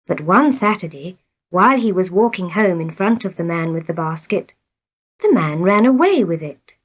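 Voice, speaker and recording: A woman's voice: lowish voice, very animated, moderate pace. A woman reading short elementary stories aloud in a scripted narration for learners. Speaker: story reader.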